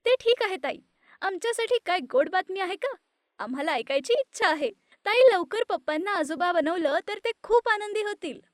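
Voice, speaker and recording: A woman voicing a cheerful young female relative in an animated story, with a bright, teasing manner. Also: very high-pitched, normal speed, dry recording, clean studio sound, pitched above the performer's own voice.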